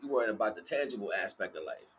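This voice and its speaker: A man's voice: lowish voice, lively intonation, fast talker, a bit bright, slight background noise. A man giving a rambling motivational spiritual talk in casual, sweary street slang, emphatic and repeating his phrases. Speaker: livestream spiritual teacher.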